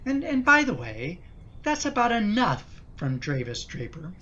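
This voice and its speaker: Radio drama: harried middle-aged dad played by a man, hesitant peacemaker, unhurried speech, slightly muffled sound. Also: sits high, huge pitch swings, bright, forceful tone, exaggerated voice. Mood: wry, fed up. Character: harried middle-aged husband, father and dutiful son. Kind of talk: radio play acting.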